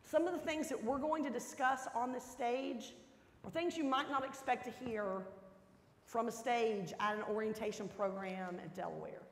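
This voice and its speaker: A woman hosting an orientation program, giving a welcome speech with deliberate, emphatic delivery in a hall. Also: on the high side. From Texas resident, not from Texas. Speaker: program host. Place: large indoor auditorium stage. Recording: echoey hall, some background noise.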